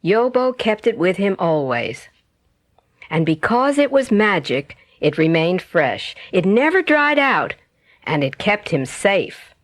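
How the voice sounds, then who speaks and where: A woman narrating a children's bedtime story as a storyteller, with expressive, dramatic and carefully phrased delivery. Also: medium pitch, slow, no room sound. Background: music.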